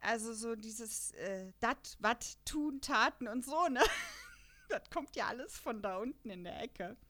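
A woman from the Lower Rhine region of Germany chatting casually as a podcast co-host, rambling and self-deprecating. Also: big pitch swings, measured pace, sharp tone. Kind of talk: casual chat about an audio drama.

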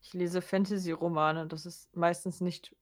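A woman's voice: lowish voice, flat delivery, normal speed. A woman in an informal podcast conversation, chatting casually about an audio drama. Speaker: podcast co-host.

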